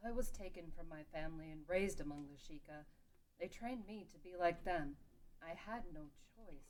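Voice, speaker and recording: A woman acting a low-voiced, stoic warrior guardian. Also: normal speed, darkish tone, a little hiss or hum, natural voice, low-quality recording. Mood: guarded, firm. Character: stoic, protective warrior woman, caretaker of a princess. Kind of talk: table read of a fan-written audio drama.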